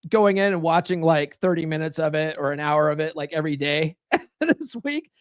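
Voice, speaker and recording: A man's voice: fairly high pitch, animated, normal speed, smooth, no echo, clean studio sound. A man hosting a podcast, talking loosely and profanely, full of "right?" and "like" fillers. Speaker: podcast host. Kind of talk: casual live-stream monologue.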